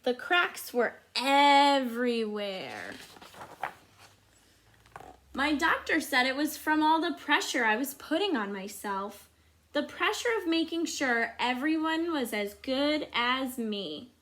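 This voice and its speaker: Storybook narrator role: dutiful, put-upon good egg played by a woman, bright, slow, earnest and dramatic. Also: high voice, big pitch swings, heavily acted. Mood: weary. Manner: earnest, dramatic, drawn-out emphasis. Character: dutiful, overburdened male good egg telling his story.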